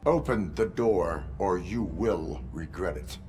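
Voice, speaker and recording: An actor playing a stern cyborg police officer in a video game, speaking formally and deadpan with an American accent. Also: male voice, low-pitched, slow, husky, full character voice. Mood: commanding. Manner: formal, deadpan, clipped commands.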